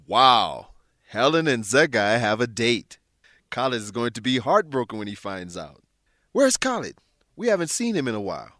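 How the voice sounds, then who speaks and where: A man hosting a radio drama for English learners, narrating from a script and putting clear questions to the listeners. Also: medium pitch, sing-song, normal speed, sharp tone, no echo, studio quality.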